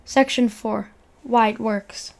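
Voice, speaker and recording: A woman's voice: fairly high pitch, steady tone, slow pace, creaky, a little mellow. A young woman narrating a video essay in an informal, earnest way, reading from a script and stumbling over words now and then.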